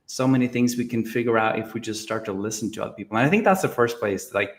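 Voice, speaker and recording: A man's voice: fairly low pitch, fast. A man from Norway speaking in a casual panel discussion, earnest and explanatory. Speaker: guest hypnotist on a spiritual talk show.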